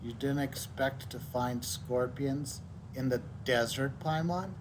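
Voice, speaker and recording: A man's voice: mid-range voice, takes it slowly, noisy background. A man giving casual let's-play commentary on a video game, rambling with dry joking asides. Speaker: gamer, video creator. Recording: loud game music behind voice.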